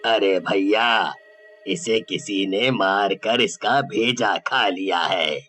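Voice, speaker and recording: A man voicing a worried, pleading villager in a horror storybook tale, with alarmed, drawn-out delivery. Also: on the low side, bright, forceful tone, pitched below the performer's own voice. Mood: alarmed suspicion.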